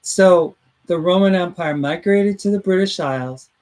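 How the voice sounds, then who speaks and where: A man's voice: high-pitched, moderate pace, a little mellow. A man hosting a talk radio show in casual chat, rambling through his points and reading listener comments aloud. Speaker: host.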